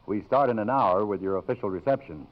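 An actor playing a gentle middle-aged college president in a 1950s radio drama, courteous and measured, with some hesitancy. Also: male voice, lowish voice, natural voice. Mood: warm, awkward. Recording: muffled vintage 1950s radio recording.

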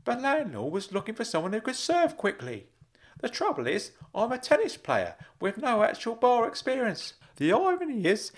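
A man voicing a kindly, fussy older uncle in an audiobook story, earnest and excitable. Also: medium pitch, pitched above the performer's own voice. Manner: excitable, earnest, swooping delivery. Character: kindly older uncle, fussy, caring, fond of dad jokes.

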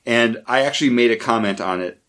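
A man hosting a podcast, talking in a relaxed, rambling way. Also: medium pitch, fairly quick. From lives in Orlando area. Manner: relaxed, rambling, talks through beer and theme parks. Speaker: podcast host. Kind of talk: casual podcast chat.

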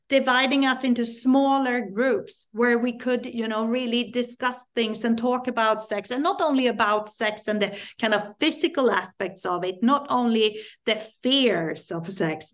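A woman from Sweden, a native Swedish speaker, talking earnestly as an interview guest and often repeating words while searching for them. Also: a little high. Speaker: guest, parent and sex education advocate. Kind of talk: podcast interview.

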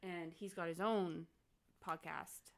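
A woman's voice: on the low side, steady tone, slow. A woman hosting a casual podcast from her home office, chatting loosely, giggling and drifting into side stories. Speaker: podcast host. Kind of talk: casual chat.

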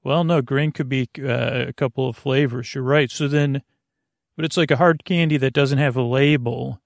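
Male podcast host, middle-pitched, with vocal fry. A halting, tangent-prone rambler with a playful streak, recorded dry and close. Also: fairly even intonation, dry recording. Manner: halting, tangent-prone, many fillers and restarts; playful, muses on words. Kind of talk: rambling late-night podcast intro.

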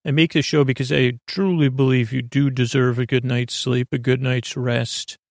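A man hosting a bedtime podcast in a meandering, halting monologue, with a gravelly voice and a lulling, soothing manner. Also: mid-range voice, fairly even intonation, dry recording, studio quality. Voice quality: gravelly, creaky. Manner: rambling, halting, self-interrupting. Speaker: podcast host.

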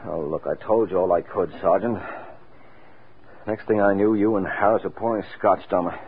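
An actor playing a wry, dogged private detective in an old radio crime drama, quick and wisecracking. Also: male voice, low voice, a little hiss or hum, close to an everyday voice. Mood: puzzled, dogged. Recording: tinny vintage radio recording.

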